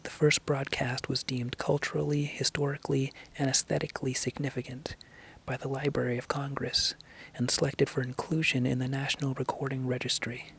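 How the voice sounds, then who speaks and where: A man hosting a listening show, whispering a scripted introduction in an unvoiced, hushed voice. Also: mid-range voice, level tone, fairly quick, gravelly. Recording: faint background noise.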